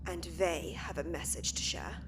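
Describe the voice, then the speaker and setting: A woman plays an earnest streamer talking straight to her viewers in a lowish, steady voice. There is a constant hiss under the home recording. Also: moderate pace. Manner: earnest, urgent address to viewers. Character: earnest female streamer exposing a conspiracy.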